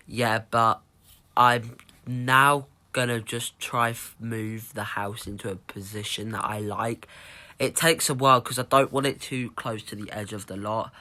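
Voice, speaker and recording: A teenage boy with a cold, sounding croaky, rambling casually with lots of ums as he talks over his own building gameplay. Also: fairly low pitch, pushed and bright. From United Kingdom. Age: teens. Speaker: gaming YouTuber.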